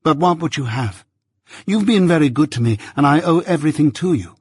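A man voicing a proud, self-possessed young adopted daughter in a novel reading, speaking with composed, measured, unyielding delivery. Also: a little high, lively intonation, breathy edge, dry recording, clean studio sound, pitched above the performer's own voice.

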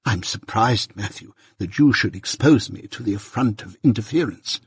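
Guy doing a snooty offended lady of the house, bossy mother, rough husky voice, storybook style. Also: on the low side, lively intonation, moderate pace, no echo, clean studio sound, full character voice. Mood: haughty affront. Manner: imperious, affronted. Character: haughty, indignant gentlewoman mother scolding her household.

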